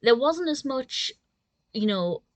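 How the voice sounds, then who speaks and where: A woman's voice: high voice, sing-song, takes it slowly, dry recording. Woman giving a chatty review, dry close sound. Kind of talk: casual spoken review to camera.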